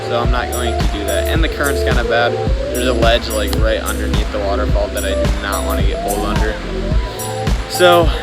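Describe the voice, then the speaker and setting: A young man narrating a travel vlog outdoors by forest waterfalls, talking in an excited, chatty way and thinking aloud as he goes. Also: fairly low pitch, brisk. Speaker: travel vlogger. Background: music.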